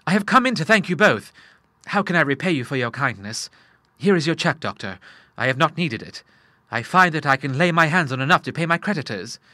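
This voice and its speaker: A man's voice: on the high side, expressive, a little fast, pushed and bright, dry recording, pitched above the performer's own voice. Man plays a troubled young city man, earnest and formal, dry close-miked read.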